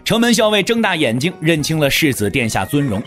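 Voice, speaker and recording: A man's voice: on the high side, sing-song, fairly quick, fairly bright tone. A man narrating a wuxia audio drama as a scripted, dramatic storyteller. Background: faint music.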